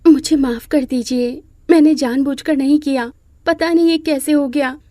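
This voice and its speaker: A woman's voice: sits high, fairly even intonation, normal speed, mellow, dry recording, studio quality, close to an everyday voice. Woman acting a meek, polite young bride. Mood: apologetic. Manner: meek, respectful, apologetic. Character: humble, polite, dutiful young new bride. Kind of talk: Hindi moral-story character acting.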